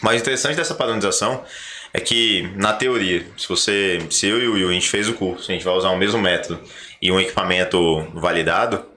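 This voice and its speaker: A man's voice: low voice, brisk, sharp tone. A man with a Brazilian accent hosting a podcast, explaining things in a conversational way. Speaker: podcast host.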